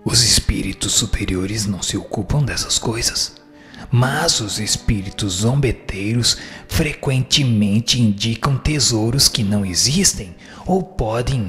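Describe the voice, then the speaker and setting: Male reader, fairly low voice, formal and instructive, scripted answers with music underneath. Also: a bit bright. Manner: formal, didactic. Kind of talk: scripted reading of a spiritist text.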